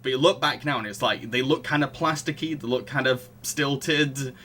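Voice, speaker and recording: A man's voice: mid-range voice, normal speed, sharp tone, a little hiss or hum. A man hosting a podcast, talking casually about films in a chatty, hesitant way, circling round his explanations. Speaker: podcast host. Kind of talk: casual film discussion.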